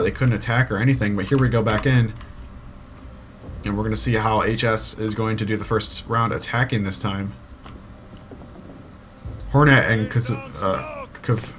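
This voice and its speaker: A man giving live play-by-play commentary on an online shooter match, getting excited at kills and stumbling over player names. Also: fairly low pitch, a little hiss or hum. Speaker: esports shoutcaster. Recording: loud game music and gunfire behind.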